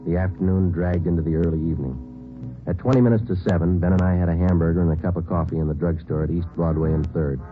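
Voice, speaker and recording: A man playing a deep clipped police sergeant. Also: fast, close to an everyday voice. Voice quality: clipped, flat, matter-of-fact delivery. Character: terse male police sergeant narrating a case. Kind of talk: 1950s radio drama narration. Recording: tinny old radio broadcast with music.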